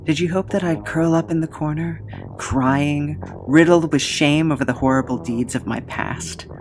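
An actress playing a defiant, vengeful heroine in an audio drama, with intense, deliberate, dramatic delivery. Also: female voice, low voice, steady tone, a little mellow, a little hiss or hum. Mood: bitter defiance.

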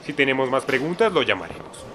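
A man with a Latin American accent playing a brisk, probing police lieutenant in a video game dub. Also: mid-range voice, very animated, noisy background, close to an everyday voice. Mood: businesslike. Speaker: fan dub voice actor.

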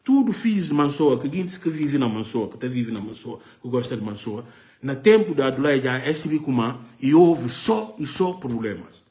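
A man's voice: mid-range voice, expressive, normal speed, warm tone. Impassioned middle-aged man. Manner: impassioned, gesturing, repeats phrases for emphasis. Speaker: political commentary host. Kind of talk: live political commentary to camera. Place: living room sofa, indoors. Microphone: phone camera at arm's length.

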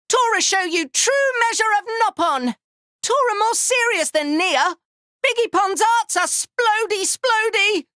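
A man's voice: extremely high pitch, bright, forceful tone, no room sound, studio quality, heavily acted. A man voicing a small furry creature from a video game, eager, boastful and childlike, speaking in cutesy, exclamatory broken phrases. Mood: eager, confident. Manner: cutesy broken grammar, third-person self-reference, exclamatory. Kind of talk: video game battle voice lines.

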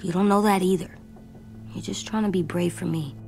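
A woman's voice: on the low side, fairly even intonation, moderate pace, creaky, darkish tone, soft background music. A woman voicing an earnest, stubborn little boy in an apocalypse video game, with a childlike, plain-spoken, solemn delivery. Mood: worried. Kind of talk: naturalistic video game acting.

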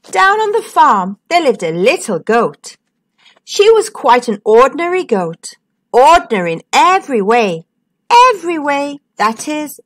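A woman reading a picture book aloud to children in a sing-song voice, with dramatic pauses. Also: sits high, fairly bright tone. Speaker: children's storyteller.

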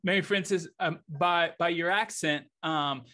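A man in his thirties from the United States, hosting a video-call interview and asking gentle, appreciative questions. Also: on the high side, unhurried. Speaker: host. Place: home office indoors.

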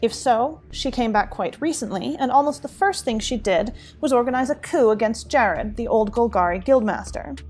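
A woman's voice: on the high side, expressive, normal speed, few pauses, a little mellow, lightly acted. A woman playing a wary, well-informed informant in a fantasy audio drama, briefing formally and matter-of-factly. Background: music.

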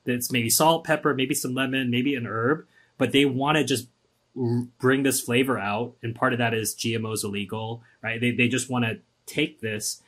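A man's voice: mid-range voice, moderate pace, husky. A man from Singapore, a podcast guest, talking casually and acting out quoted people with voices. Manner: animated, acts out quotes with voices. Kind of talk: podcast interview, casual storytelling.

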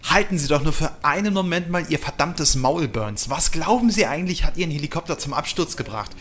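A man's voice: fairly high pitch, lively intonation, fairly quick, fairly bright tone. A man playing a blunt, defiant, sardonic pilot in an audio drama. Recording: faint background ambience noise.

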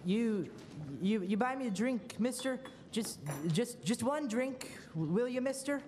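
Radio play drunk, acted by a woman: broken, old, halting and slow, faint noise behind. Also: a little high, lively intonation, dark tone. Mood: pleading. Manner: halting, stammering. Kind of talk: live radio play reading. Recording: noisy reverberant auditorium stage.

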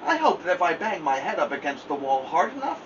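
A man's voice: on the high side, very animated, fairly quick, fairly bright tone, dull audio. A man voicing a fretful, earnest, bumbling toad in a storybook, exclaiming as he goes. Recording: distant mic with faint noise.

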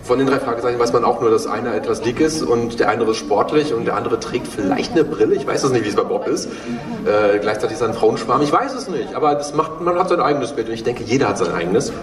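A man's voice: mid-range voice, fast talker. A man in his thirties talking casually and a little hesitantly as a guest on a stage panel. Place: on stage in a dark event hall. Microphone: handheld microphone, heard through room. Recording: echoey hall, distant, crowd noise.

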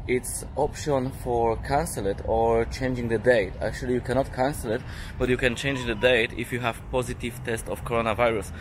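A man in his thirties, a native Polish speaker, talking to a handheld camera in halting English with many fillers on an outdoor hotel rooftop. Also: mid-range voice, fairly even intonation. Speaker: travel vlogger. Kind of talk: casual vlog talk to camera. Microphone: handheld camera at arm's length. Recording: outdoor city noise behind.

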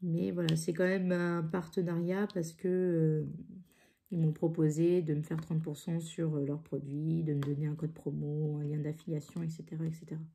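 Brief: woman vlogger, congested nose, low-ish dark voice, rambling casual chat with lots of fillers. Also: level tone, moderate pace, creaky. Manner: rambling, hesitant, many fillers and restarts. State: stuffy nose from a cold. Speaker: craft channel host. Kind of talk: casual chat while crafting. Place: home craft table, indoors.

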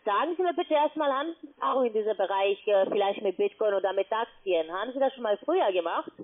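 A woman phone scammer posing as an advisor, speaking halting German and repeating the customer's name. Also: mid-range voice, lively intonation. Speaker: scam call-centre advisor. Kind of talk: phone sales pitch. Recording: tinny phone line.

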